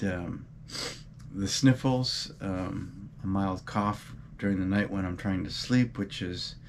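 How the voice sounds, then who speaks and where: A man hosting his running vlog, a bit congested from a cold with a mild cough, giving earnest advice with frequent um and uh pauses. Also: lowish voice, slight background noise. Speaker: running channel host. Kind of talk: casual advice vlog talk.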